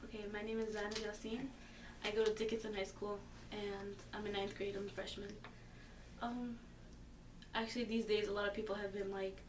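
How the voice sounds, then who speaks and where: A woman's voice: mid-range voice, reverberant, distant mic, slight background noise. A fifteen-year-old girl answering interview questions casually, hesitant and filler-heavy but growing emphatic. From born in the United States. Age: teens. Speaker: high school student interviewee.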